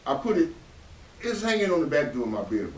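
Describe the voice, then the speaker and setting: Guy acting proud gruff southern dad in a stage play, old hurt in him, echoey and far from the mic. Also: on the high side, sing-song, quick pace, slight background noise. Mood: tender, awkward. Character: proud working-class father, gruff, carrying old grief. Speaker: actor in readers theatre.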